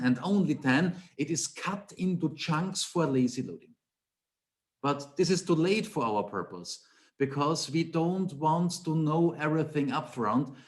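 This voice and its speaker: A man with an Austrian German accent gives a remote conference talk over a webcam call in his small home office. His voice sits in the middle range. He explains patiently, asks himself rhetorical questions and repeats key points for emphasis. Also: mid-range voice. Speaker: conference speaker, Angular trainer. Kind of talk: technical talk with slides.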